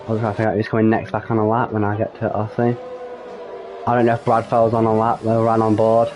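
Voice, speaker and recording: A man giving solo live commentary on an online racing league, slightly croaky from a cold, hesitant with frequent ums and self-corrections. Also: on the low side, monotone, quick pace, darkish tone, faint music behind.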